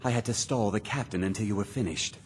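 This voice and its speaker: An actor playing a fussy meadery owner in a fantasy video game, with an obsequious, fretful manner. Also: male voice, lowish voice, fast talker, a little hiss or hum, natural voice. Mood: impatient, grudging. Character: fussy male meadery owner, servile to his betters.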